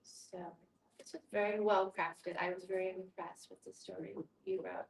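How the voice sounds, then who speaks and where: A woman with a medium-pitched voice is heard some way from the mic in a very echoey room. She facilitates a discussion in a casual, reflective manner, checking in with listeners as she explains. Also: fairly even intonation, normal speed, recorded from a distance, a little hiss or hum. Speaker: guest facilitator, hospice social worker.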